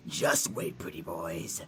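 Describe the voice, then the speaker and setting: A man voicing a violent, mocking devil villain in a visual novel, with a gravelly, harsh voice and maniacal taunts. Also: low-pitched, heavily acted. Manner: taunting, shouting, maniacal laughing.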